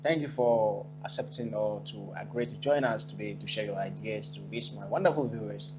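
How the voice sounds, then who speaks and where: A man's voice: on the low side, a little fast, muffled recording, noisy background. A man hosting an interview, encouraging his guest and speaking in loose, rambling phrases. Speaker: interviewer and channel host. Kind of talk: casual interview. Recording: music playing behind.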